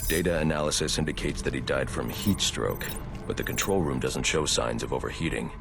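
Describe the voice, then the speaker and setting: An actor playing a grim, stoic vigilante detective in a video game, speaking in a gravelly, terse, deliberate deadpan. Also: male voice, very deep voice. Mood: analytical, grim. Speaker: superhero detective. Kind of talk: video game cutscene acting. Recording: music behind the voice.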